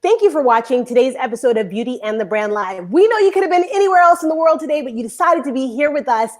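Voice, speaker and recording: A woman hosting a live online talk show, bubbly and rambling, talking in quick bursts. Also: high voice, very animated. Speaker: show host.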